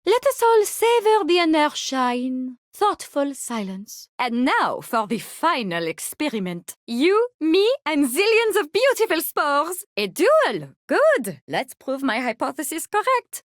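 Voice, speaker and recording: A woman's voice: high voice, very animated, a little slow, few pauses, no echo, clean studio sound. A woman playing an eccentric, haughty mycologist with a French accent, theatrical and gleefully morbid, in video game voice lines. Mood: eager, savoring. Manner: theatrical, savoring, condescending.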